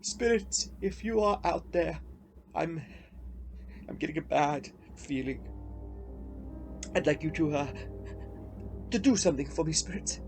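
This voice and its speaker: An actor playing a sad, repentant old grouch in a radio play, speaking haltingly and with open emotion. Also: male voice, a little high, a little mellow, soft background music. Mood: pleading, sorrowful.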